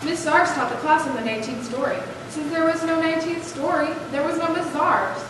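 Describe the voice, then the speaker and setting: A woman's voice: high voice, steady tone, brisk. A young female narrator reading a scripted story aloud for a school readers' theater. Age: young adult or younger, school pupil. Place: large echoey room. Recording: echoey noisy room, far mic.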